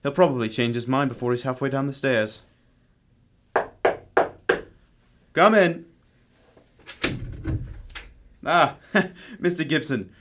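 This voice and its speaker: A man's voice: medium pitch, normal speed, noisy background, lightly acted. A man playing a cool, exacting detective in an amateur radio drama, speaking in a formal, probing manner. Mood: assured, brisk.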